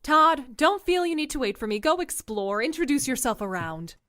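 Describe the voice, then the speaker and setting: A firm, brisk woman mayor, voiced by an actress who doesn't suffer nonsense. Her voice is high and lively, recorded dry and close. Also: no echo.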